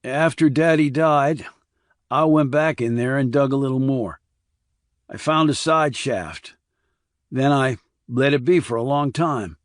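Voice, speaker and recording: A man voicing a weary, rough-edged small-town man in an audiobook, talking in clipped, colloquial, blunt phrases. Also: mid-range voice, no echo, clean studio sound, natural voice.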